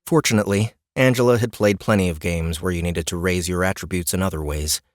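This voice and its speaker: A man narrating a fantasy audiobook in a wry, expressive storytelling manner. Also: low voice, a little fast, dry recording, clean studio sound.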